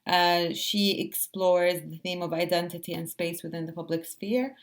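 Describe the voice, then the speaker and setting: Woman organizer from jordan on a call, friendly intros, lowish voice. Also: fairly even intonation, moderate pace. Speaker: event organizer. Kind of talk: introducing speakers. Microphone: video call.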